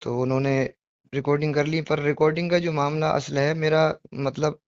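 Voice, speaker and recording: A man with a somewhat high voice talks over a dull, lo-fi phone recording. He is apologetic and insistent, justifying himself in earnest conversation, often repeating his point and asking questions he expects agreement to. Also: flat delivery, moderate pace, dry recording. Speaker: devotional poetry reciter on a phone call. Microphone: phone call.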